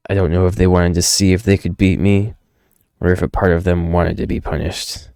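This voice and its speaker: A man reading as a gruff, haunted loner who narrates a grim past in a brooding, world-weary manner. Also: deep voice, level tone, a little fast, a little mellow, no echo, clean studio sound. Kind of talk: dramatic first-person comic narration.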